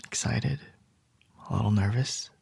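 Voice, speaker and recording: A man playing a calm, charming male CEO boss in an ASMR roleplay, soft and reassuring with a light teasing edge. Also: on the low side, a little slow, gravelly, slightly rough, no room sound, full character voice. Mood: soothing.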